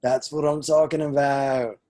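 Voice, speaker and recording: A man voicing a relaxed, friendly young constable in a chummy way at a video-call table read. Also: medium pitch, unhurried, lightly acted. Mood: easygoing.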